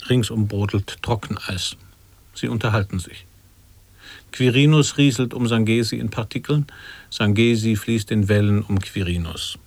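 A man playing a solemn narrator of absurd tales in an avant-garde radio play, measured, precise and formal. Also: on the low side, no echo. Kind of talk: scripted radio drama narration, sound poetry.

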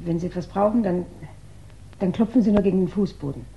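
An actress playing a determined, quietly probing young-to-mature widow in a 1960s German radio crime drama, speaking earnestly and carefully. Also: female voice, lowish voice, fast talker, breathy edge. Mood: practical resolve. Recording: muffled, noisy 1960s radio drama recording.

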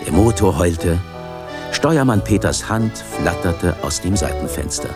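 Low-voiced man narrating a children's story. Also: lively intonation, a little slow, over loud music.

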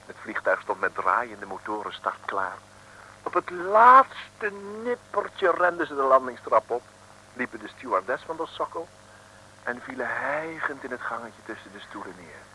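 An actor playing the brisk storyteller of an old adventure radio serial, with a Dutch accent, on a noisy recording. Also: male voice, mid-range voice, sing-song, pushed and bright. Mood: brisk, dramatic. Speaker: narrator. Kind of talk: 1950s-60s radio serial narration.

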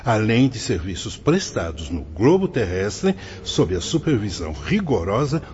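A man's voice: on the low side, big pitch swings, unhurried, darkish tone, slightly rough, lo-fi sound, exaggerated voice. A man acting a wise, fatherly spirit mentor in a radio soap opera, speaking in a solemn, didactic, formal manner. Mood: solemn, instructive. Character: wise, fatherly male spirit mentor explaining afterlife rules.

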